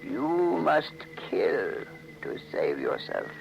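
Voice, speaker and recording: An actor playing a solemn, ominous fortune-telling servant in a 1940s radio horror drama, speaking slowly and formally. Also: male voice, mid-range voice, huge pitch swings, vocal fry, lo-fi sound, exaggerated voice. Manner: slow, portentous, formal. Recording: old 1940s radio recording with music.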